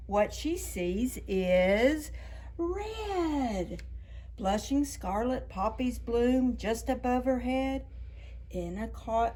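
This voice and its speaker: A woman with a fairly high voice reads picture books aloud for preschoolers. She takes her time, speaking slowly and deliberately. Key words get stretched and stressed in an expressive storytime delivery. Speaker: children's librarian, storytime host.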